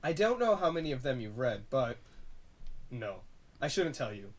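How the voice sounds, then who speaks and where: A man's voice: medium pitch, very animated, normal speed. A man playing a guarded, secretive character in an audio drama, warning of a conspiracy in a hesitant, grave manner.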